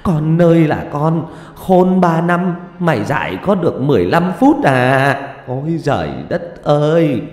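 Man playing a shrill, domineering village mother, echoey. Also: very animated, measured pace, few pauses, a little mellow. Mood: wailing lament. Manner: scolding, shrill exclamations, coarse rustic cursing. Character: domineering, hot-tempered village matriarch, wailing and cursing mother. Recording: echoey reverberant voice over music.

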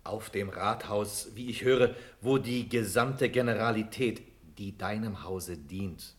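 A man's voice: lowish voice, unhurried, natural voice. An actor playing a formal, dutiful officer in a classical radio drama, reporting respectfully to his lord in declamatory verse.

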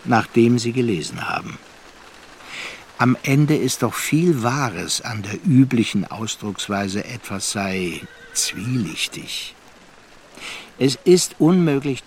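A man playing a reflective seafarer in a radio drama, telling his story in thoughtful, deliberate phrases. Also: mid-range voice. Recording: noisy ambience under the voice.

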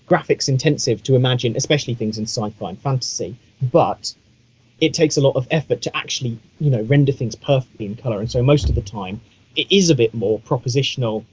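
A man's voice: mid-range voice, darkish tone, slightly rough, muffled recording. A man chatting casually as a podcast co-host, with a wry, self-mocking and discursive way of talking.

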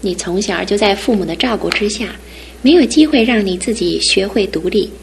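Caring new bride, radio drama, even-tempered, mid-range voice. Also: female voice, mid-range voice, fairly quick, creaky, a little hiss or hum. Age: young adult. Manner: warm, calm, gently firm. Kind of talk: 1970s radio drama acting.

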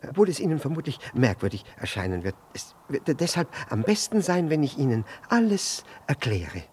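An actor playing a middle-aged gentleman in a radio play, fussy, over-apologetic and nervously insistent, stammering and repeating himself. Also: fairly high pitch, very animated, normal speed, slight background noise. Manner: stammering, hesitant, repeats words anxiously. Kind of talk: 1960s German radio play acting.

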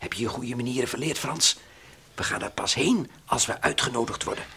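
An actor playing a brave, level-headed space adventurer in a Dutch radio play, hearty, reassuring and gently scolding. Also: male voice, mid-range voice, brisk, husky, heavily acted. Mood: calm, confident.

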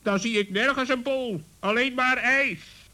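A man voicing a cheeky, sceptical talking bird in a children's radio play, with a sharp-tongued manner. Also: very high voice, unhurried, voice pushed up, exaggerated voice. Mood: chatty.

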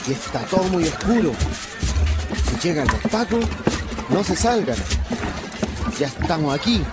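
Un actor de radioteatro con acento chileno que interpreta a un dirigente de una toma nocturna de terrenos, urgente y dando órdenes cortas. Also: male voice, high voice, big pitch swings, measured pace, loud music behind the voice. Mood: firm, guarded. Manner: commanding, short orders. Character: male leader of a night land occupation, urgent, comradely. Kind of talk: radio drama acting.

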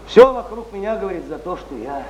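An actor playing a weary, restless Russian poet on stage, emotional, exclamatory and brooding. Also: male voice, high-pitched, big pitch swings, fairly quick. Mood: wistful. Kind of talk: theatrical stage declamation. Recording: noisy muffled 1970s theatre recording, echoey.

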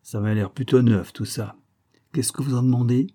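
A man playing a grumbling, suspicious tourist husband, gruff, sardonic and colloquial. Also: mid-range voice, fairly even intonation, moderate pace, dark tone, voice pushed up, natural voice. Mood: gruff haggling.

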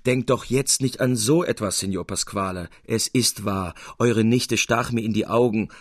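A man's voice: lowish voice, normal speed, no echo, clean studio sound. A man voicing a sly young lover in an audiobook story, speaking with polite, soothing, put-on sincerity. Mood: feigned sincerity.

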